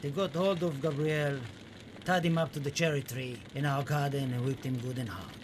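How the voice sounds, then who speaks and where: A man playing a haunted Spanish poet in a radio play, speaking in dramatic, deliberate, poetic phrases on a noisy recording. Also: mid-range voice, steady tone, natural voice. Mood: grave, anxious. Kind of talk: radio play monologue with recited poetry.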